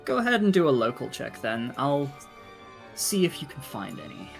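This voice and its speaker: A man's voice: mid-range voice, sing-song, moderate pace, creaky. A man running a tabletop game as game master, casually narrating and ruling on rolls, thinking aloud as he goes. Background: faint music.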